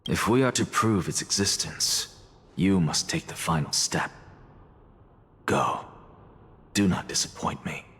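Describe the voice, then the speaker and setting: Haughty, deep-voiced scholar. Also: male voice, expressive, unhurried, pauses often, fairly bright tone, husky, exaggerated voice. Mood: haughty, assured. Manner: theatrical, self-assured, dismissive. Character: arrogant, self-sacrificing male scholar scheming toward godhood. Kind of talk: dramatic video game voice acting. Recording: long reverb, music underneath.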